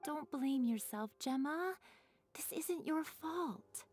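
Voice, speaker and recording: A woman's voice: sits high, expressive, slow pace, darkish tone, faint music behind. A woman playing a tender, regretful character in a video game. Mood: soothing.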